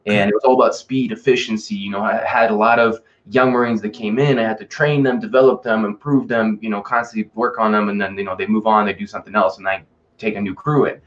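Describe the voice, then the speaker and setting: A man's voice: lowish voice, steady tone, fast, highs cut off. A man from Russia talking casually and emphatically as a coaching guest on an interview show, telling personal stories and often checking in with informal asides. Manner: informal, emphatic, with rhetorical check-ins and buddy slang. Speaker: podcast guest, fitness and life coach.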